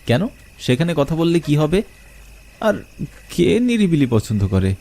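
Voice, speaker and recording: A young man narrating a first-person horror story, expressive and asking questions as he goes. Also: on the high side, a little slow.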